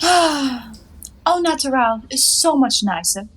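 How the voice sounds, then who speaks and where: A breezy young flapper played by a woman in a one-act comedy. Her voice is high and she takes her time over the words, coy, playful and entirely unabashed. The delivery is theatrical, in a 1920s comic style. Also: animated, slow pace, fairly bright tone, a little hiss or hum, exaggerated voice. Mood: airy, teasing.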